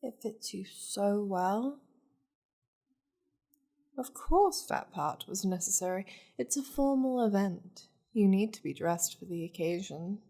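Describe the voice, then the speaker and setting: Woman acting a slow-talking, possessive demon lady. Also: mid-range voice, expressive, warm tone. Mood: possessive, silky. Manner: teasing, commanding, haughty endearments. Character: possessive, wealthy, flirtatious high-ranking demon lady. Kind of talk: intimate ASMR roleplay.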